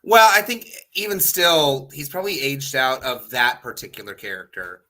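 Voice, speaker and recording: Bright-voiced man in casual, opinionated chat. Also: medium pitch, big pitch swings, normal speed. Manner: opinionated, informal with casual swearing. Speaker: podcast co-host.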